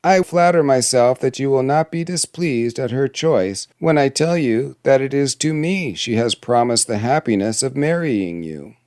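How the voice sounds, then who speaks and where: A man's voice: mid-range voice, animated, normal speed, few pauses, darkish tone, dry recording, studio quality, pitched above the performer's own voice, lightly acted. A man voicing a malicious little fairy tale dwarf with a taunting, self-important manner, in a storybook reading. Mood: insistent. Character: malicious little dwarf, boastful, spiteful suitor.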